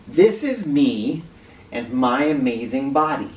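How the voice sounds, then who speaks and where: A man hosting an educational read-aloud for children, speaking directly to them with clear emphasis and questions. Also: medium pitch, very animated, takes it slowly, darkish tone. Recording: roomy, distant mic with faint noise.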